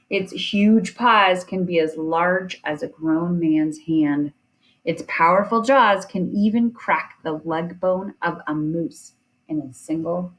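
A woman reading a children's nonfiction picture book aloud in a deliberate way, with chatty asides. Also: fairly low pitch, poor audio. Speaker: reader of children's science book.

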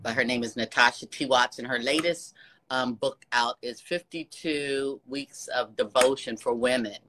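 A woman in her sixties talks into a phone held close, her voice bright and clear. She gushes and encourages in an easy, chatty way. The call comes from an office. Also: very deep voice, normal speed. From United States. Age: 60s. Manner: gushing, warm praise with frequent laughs. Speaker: fan on a video call. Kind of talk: casual chat. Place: office with ceiling lights. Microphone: phone camera held close.

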